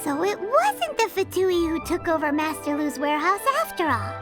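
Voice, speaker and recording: A woman voicing a tiny, excitable, feisty childlike fairy companion in a video game, exclaiming and speaking of herself in the third person. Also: extremely high pitch, normal speed. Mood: puzzled. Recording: music behind the voice.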